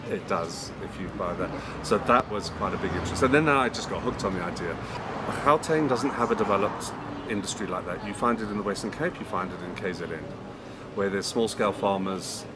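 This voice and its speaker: A middle-aged man from Zambia, a small restaurant owner, explaining his work in an interview. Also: sits low. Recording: loud urban background noise.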